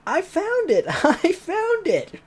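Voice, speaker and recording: Man doing a squeaky, excitable boy pioneer. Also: slow pace, full character voice. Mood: excited boasting. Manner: shouting in an exaggerated high voice. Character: boastful, excitable boy pioneer, squeaky young know-it-all.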